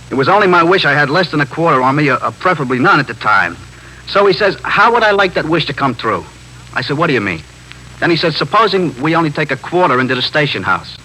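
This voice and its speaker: An actor playing a nervy, talkative small-time drug pusher in a 1950s radio drama, rambling on in streetwise slang and justifying himself. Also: male voice, a little high, sharp tone. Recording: noisy 1950s radio broadcast recording with hum.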